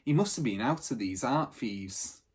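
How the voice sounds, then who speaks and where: Blunt, husky boy gang leader done by a man. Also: mid-range voice, expressive, unhurried, a little mellow. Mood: suspicious.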